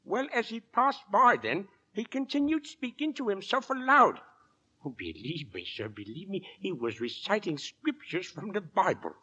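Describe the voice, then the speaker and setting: Bright, excitable high-voiced male witness. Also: normal speed, husky, full character voice. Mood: agitated. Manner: earnest, deferential but insistent. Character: excitable, insistent Victorian male witness at an inquest. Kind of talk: 1940s radio acting. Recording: dull vintage radio recording.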